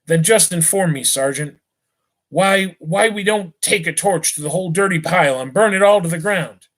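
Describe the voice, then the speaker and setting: Young redcoat soldier, read by a guy, restless and grumbly, highish voice. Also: normal speed. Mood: eager.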